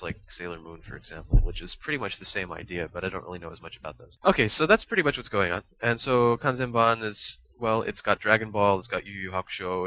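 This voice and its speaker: A man's voice: low voice, pushed and bright, rough recording. A man co-hosting a podcast, explaining things in a casual, rambling, hesitant and self-deprecating way. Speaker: podcast co-host. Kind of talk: casual explanatory fan discussion.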